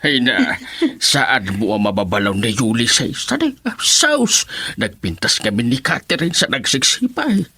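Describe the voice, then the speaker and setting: Jovial, husky, swooping father. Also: medium pitch, sing-song, measured pace, pushed and bright, full character voice. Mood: amused. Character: jovial, teasing father of a student. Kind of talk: Ilocano radio drama acting.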